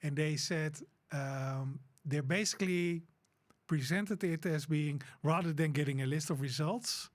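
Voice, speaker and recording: Male co-host, slightly high voice, hesitant and filler-heavy, easy laugh. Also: a little slow. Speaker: podcast co-host. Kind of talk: informal unscripted conversation.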